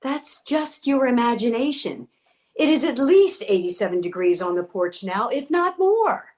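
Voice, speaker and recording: Actress as exasperated older woman, fairly high voice, lively intonation, bossy, matter-of-fact, comic stage reading. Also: moderate pace, lightly acted. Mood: bossy, dry. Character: bossy, practical, impatient older woman, exasperated yet caring. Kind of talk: comedic readers theater acting.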